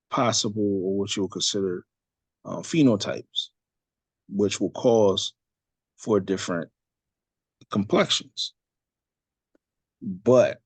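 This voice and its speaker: Husky man giving a casual religious talk. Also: fairly low pitch, no room sound. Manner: loose, conversational, many fillers and asides. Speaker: religious teacher leading a study discussion.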